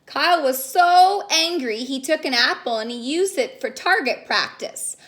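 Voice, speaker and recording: A woman reading stories aloud to young children in an expressive, sing-song way, prompting them as she goes. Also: sits high, measured pace. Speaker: children's story reader and caregiver.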